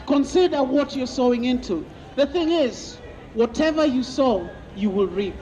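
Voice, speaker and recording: Street preacher, man from Kenya, very high voice, dull lo-fi sound, open-air square. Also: a little slow, mellow. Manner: repeats questions to passers-by, quotes scripture. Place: outdoors in a busy city square. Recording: lo-fi outdoor recording with crowd noise.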